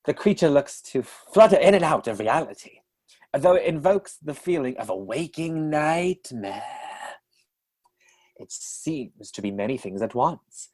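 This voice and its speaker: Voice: mid-range voice, animated, measured pace, darkish tone. A narrator of a comic radio play, telling the story with a dry, wry manner.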